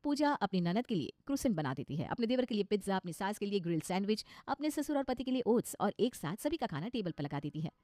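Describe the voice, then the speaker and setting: Woman narrator reading a cartoon moral story, quick pace, dry close studio sound. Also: mid-range voice, big pitch swings, a little mellow, dry recording.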